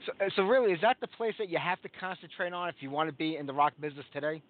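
A man hosts a live rock show, talking fast in a loose, chatty way full of fillers. His voice sits a little high. The sound is narrow and phone-like. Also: animated. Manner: easygoing, chatty, with frequent fillers. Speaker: radio show host. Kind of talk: casual live interview chat.

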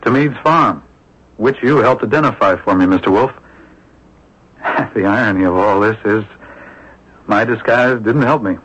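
An actor playing a composed male swindler under questioning in a radio drama, speaking in a measured, formal way. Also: fairly low pitch, a bit bright, slight background noise, lightly acted. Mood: confessional, rueful. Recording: dull, lo-fi old radio recording.

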